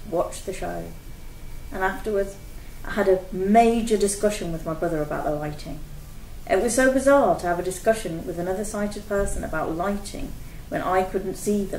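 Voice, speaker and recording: Expressive woman in an echoey room. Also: medium pitch, slight background noise. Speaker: theatre-goer. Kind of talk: personal account told to camera. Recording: echoey room, mic at a distance.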